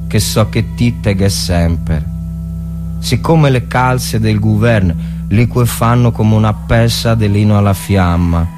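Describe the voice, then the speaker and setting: Male reader as simple northern Italian peasant soldier, plaintive letter to brother, deep register, slow delivery, music underneath. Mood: earnest, plaintive. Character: rustic soldier dictating a letter to his brother. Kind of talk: dramatic reading of a war story.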